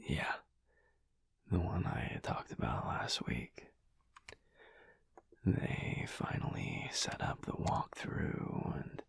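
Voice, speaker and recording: A man playing a teasing, affectionate werewolf husband in an intimate audio roleplay, murmuring in a breathy, husky whisper. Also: deep voice, full character voice. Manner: slow, murmured, playful delivery with long pauses. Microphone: close mic, dry.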